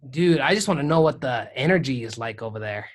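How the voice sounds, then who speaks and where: A man's voice: medium pitch, animated, fast. A man hosting a podcast in a hyped, slangy, enthusiastic way. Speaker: podcast host. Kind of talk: casual podcast chat.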